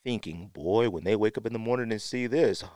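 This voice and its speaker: A man with a fairly low voice, recorded close and dry, hosts a podcast solo. He talks casually and with strong opinions, leaning hard on key phrases and repeating them to drive the point home. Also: fairly quick, darkish tone, clear, resonant tone, dry recording. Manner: emphatic, repeats phrases for effect. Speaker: podcast host.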